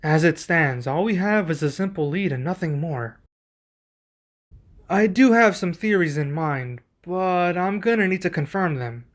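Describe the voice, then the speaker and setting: Man acting a high-voiced, measured young king. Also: expressive. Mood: pensive, measured. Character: young king, protective husband, troubled and deliberate. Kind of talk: ASMR roleplay acting.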